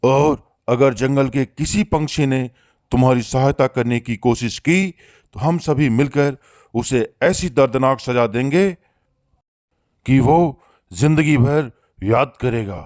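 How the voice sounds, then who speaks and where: A man's voice: mid-range voice, slow, a little mellow, dry recording, clean studio sound, lightly acted. A man voicing a threatening bully bird in a cartoon, accusing and domineering in manner. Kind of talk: Hindi moral-story cartoon acting.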